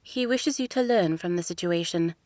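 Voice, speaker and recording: A woman voicing a proud young princess who speaks in a crisp, formal way. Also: fairly low pitch, moderate pace, a little mellow, no room sound, close to an everyday voice. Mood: reserved.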